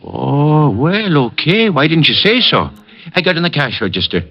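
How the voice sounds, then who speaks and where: A man's voice: fairly high pitch, big pitch swings, moderate pace. A jumpy, evasive barkeep, with a raised voice that swings up and down. His talk is hesitant and homespun. It sounds like a dull old radio broadcast. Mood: nervous compliance. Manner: hesitant, folksy, ungrammatical. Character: nervous, evasive male barkeep, uneducated and jumpy. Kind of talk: old-time radio character acting. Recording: dull vintage radio recording.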